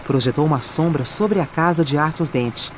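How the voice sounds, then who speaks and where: A woman's voice: sits low, normal speed, slight background noise. A woman with a Brazilian accent reading scripted audiobook narration. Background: music and added sound effects.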